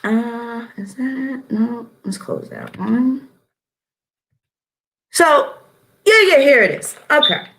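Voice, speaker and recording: A woman's voice: on the high side, sing-song, takes it slowly, creaky, pauses often, a bit bright, low-quality recording. A woman vlogger giving blunt, profane commentary straight to her audience, breaking off to read legal text aloud.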